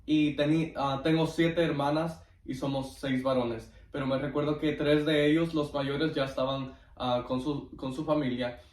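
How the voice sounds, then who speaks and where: Man, devout and halting testimony, slightly high voice, away from the mic. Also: steady tone. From Guatemala. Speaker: interview guest giving testimony. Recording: roomy echo, distant microphone.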